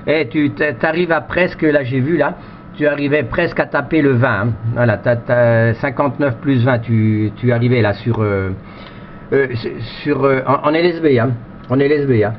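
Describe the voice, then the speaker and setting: A man of medium pitch talks casually in amateur radio jargon. He rambles, hesitates and doubles back on his phrases. The audio is dull and lo-fi, with a faint layer of noise behind him. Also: normal speed. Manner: hesitant, repetitive, rambling delivery. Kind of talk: casual radio contact chat with ham jargon.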